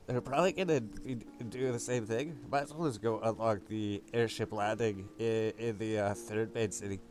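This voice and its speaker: Low-voiced man giving rambling game commentary. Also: moderate pace. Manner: rambling, thinking aloud. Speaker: gaming video host. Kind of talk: casual game walkthrough commentary. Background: game music.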